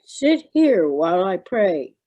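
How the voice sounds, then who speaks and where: A woman reading the part of Jesus as a grave, sorrowful teacher in a solemn, deliberate scripture reading. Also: fairly low pitch, sing-song, mellow, no room sound, dull audio, full character voice.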